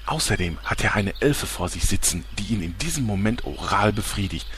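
A man's voice: on the low side, lively intonation, a little fast, fairly bright tone, a little hiss or hum. A man playing a pompous, sleazy, easily flustered TV reporter in an audio parody, with dramatic announcer delivery. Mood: sensational, dramatic.

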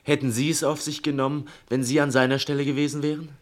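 A man's voice: a little high, little pitch movement, quick pace, close to an everyday voice. An actor playing a troubled, deferential man who probes insistently and earnestly in a German radio play. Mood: probing, subdued. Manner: earnest, polite, probing. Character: deferential male listener, troubled and insistently questioning.

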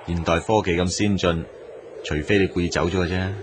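A man's voice: sits low, fairly even intonation, a little fast, a little hiss or hum, close to an everyday voice. A man acting as a wistful young man in a radio drama, speaking and narrating in Hong Kong Cantonese. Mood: wry, gentle.

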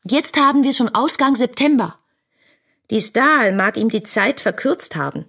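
A woman's voice: fairly high pitch, moderate pace, vocal fry, husky, full character voice. An actress playing a fiery, headstrong young woman reading out her adoring letters with impassioned expression in a German radio play. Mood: reproachful. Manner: impassioned, expressive literary delivery.

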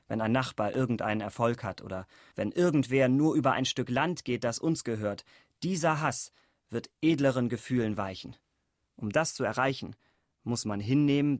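An actor playing an earnest, idealistic older brother, speaking solemnly and in measured phrases in a radio play. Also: male voice, mid-range voice, dry recording, studio quality, natural voice. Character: earnest idealistic older brother, young village resistance man.

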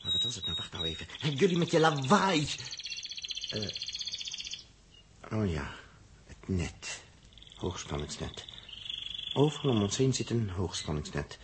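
A man's voice: fairly low pitch, big pitch swings, unhurried, long pauses. An actor playing an irritable, sarcastic space crewman with an inventive streak, grumbling and thinking aloud in a 1960s Dutch radio drama. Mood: musing, eager. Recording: noisy 1960s radio recording.

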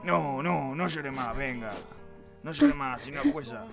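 An actor playing a foul-mouthed, crooked police inspector with an Argentine accent in comic radio theatre, swearing and blustering. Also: male voice, on the high side, sing-song, measured pace, gravelly, heavily acted. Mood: flustered, softening. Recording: music behind the voice.

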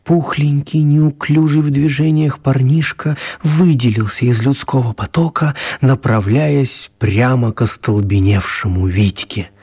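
An actor playing a storyteller, narrating a children's radio tale with measured dramatic pauses. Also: male voice, medium pitch, warm tone, slightly rough. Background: music.

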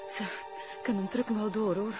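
An actress playing a desperate, submissive drug-addicted cult follower, pleading meekly with a Flemish accent. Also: female voice, medium pitch, steady tone, fairly quick, music in the background, lightly acted. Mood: anxious pleading. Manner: pleading, meek. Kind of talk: Flemish TV crime drama acting. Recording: quiet, dull lo-fi TV audio.

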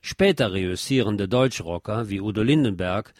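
A man reading a scripted documentary narration in a measured, factual way. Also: on the low side, dry recording, clean studio sound.

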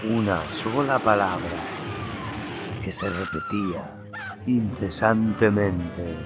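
A man reading a horror story aloud in a slow, theatrical way, then presenting notes on film music. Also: fairly low pitch. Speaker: narrator and podcast host. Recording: narration over loud music.

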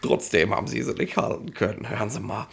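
An actor playing a pompous, bullying police inspector in a 1960s German radio play, rambling officiously and easily amused. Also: male voice, on the low side, expressive, fast talker, gravelly, fairly bright tone, husky, full character voice. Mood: roaring amusement. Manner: officious, rambling, keeps tagging on questioning words.

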